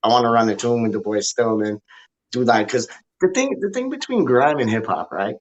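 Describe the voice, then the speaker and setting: A man with a Canadian accent chatting on a live stream, animated and slangy, often repeating himself. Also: mid-range voice, brisk, dull audio. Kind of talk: casual live-stream chat.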